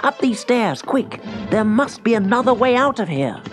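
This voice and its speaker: A storyteller voicing a young, bumbling boy wizard in a children's story, earnest, excitable and anxious. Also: mid-range voice, measured pace, music in the background, pitched above the performer's own voice, lightly acted. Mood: anxious urgent. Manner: hesitant, breathless, excitable.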